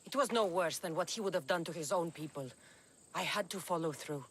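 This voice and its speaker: A woman's voice: on the low side, brisk, a little hiss or hum, lightly acted. An actress playing a battle-worn, loyal female mercenary in a video game, speaking tersely and sincerely. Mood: resolute. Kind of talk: dramatic game cutscene dialogue.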